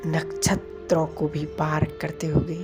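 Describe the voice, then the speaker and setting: A woman leading a devotional guided meditation in a soothing, measured manner, pausing between short phrases. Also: low voice, completely flat delivery. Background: music.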